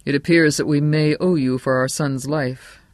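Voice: mid-range voice, moderate pace, no room sound, natural voice. A narrator voicing a blunt, commanding father in an audiobook, speaking firmly. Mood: eerily calm. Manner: blunt, firm. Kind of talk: audiobook character acting.

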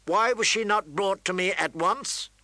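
A man's voice: a little high, animated, moderate pace, pushed and bright, dry recording. A man voicing a stern, protective lord and father with a firm, demanding manner in a storybook reading. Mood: cold anger.

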